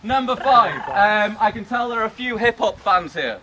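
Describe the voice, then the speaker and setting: A man's voice: high-pitched, moderate pace, very noisy. A young man, teaching a circle of students outdoors in a playful, joking way, with the group calling the words back after him. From Wales. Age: young adult. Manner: playful, jokey, drills words for the group to repeat. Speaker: language teacher. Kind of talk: outdoor call-and-response lesson. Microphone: handheld phone camera from the circle's edge. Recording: outdoor phone clip with a loud crowd. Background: group of students repeating words.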